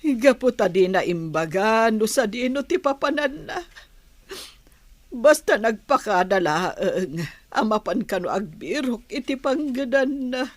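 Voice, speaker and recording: A woman's voice: fairly high pitch, expressive, unhurried, no echo. A woman acting a worried, sorrowful mother in an Ilocano audio drama, pleading as she speaks. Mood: worried, sad.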